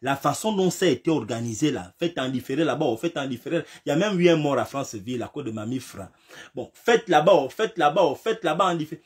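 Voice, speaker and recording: A man with a fairly high voice gives a fiery political commentary. His pitch swings widely as he repeats phrases, piles up rhetorical questions and sometimes shouts. He has a slight cold. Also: lively intonation, fast talker. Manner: emphatic, repetitive, rhetorical questions, rising to shouts.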